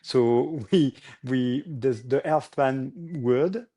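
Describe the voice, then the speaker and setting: A man's voice: mid-range voice, extremely slow, warm tone. A man, a startup founder speaking as a guest in a casual interview and often searching for English words. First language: French.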